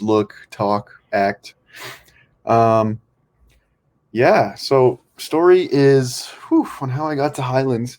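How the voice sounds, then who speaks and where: A man's voice: fairly low pitch, a little mellow. A young man from California, a podcast guest, talking in a laid-back, rambling way with many ums. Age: young adult. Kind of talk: casual podcast chat.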